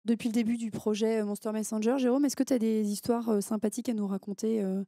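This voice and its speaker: A woman's voice: on the high side, steady tone, quick pace, dark tone, dry recording, studio quality. A woman moderating a panel, asking a question with hesitant fillers. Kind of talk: panel discussion.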